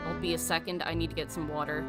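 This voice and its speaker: A woman's voice: low voice, fast. A woman streamer giving casual, exclamatory reactions while playing a game. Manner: expressive, exclamatory. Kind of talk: casual let's-play reactions. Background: game music.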